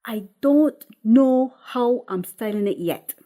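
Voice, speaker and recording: A woman's voice: fairly high pitch, expressive, slow, warm tone. A woman voicing a timid twenty-nine-year-old daughter in a script reading, defensive, hesitant and exasperated.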